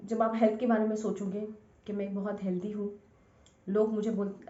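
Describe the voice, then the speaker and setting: A woman's voice: mid-range voice, warm tone. Woman coaching in an echoey room. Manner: direct coaching address with repeated phrases and English terms mixed in. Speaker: instructor, scientist. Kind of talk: informal instructional talk. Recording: echoey room, dull lo-fi sound.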